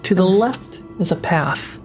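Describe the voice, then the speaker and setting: A game master narrating a tabletop fantasy game in a measured, dramatic way, pausing between phrases. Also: medium pitch, animated, over music.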